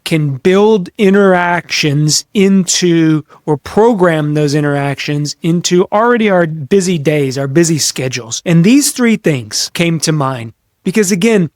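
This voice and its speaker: Male podcast host, slightly high voice, dry sound. Conversational business coaching, instructive, stressing key words. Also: animated, a little slow, no room sound. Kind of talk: practical business advice talk to camera or mic.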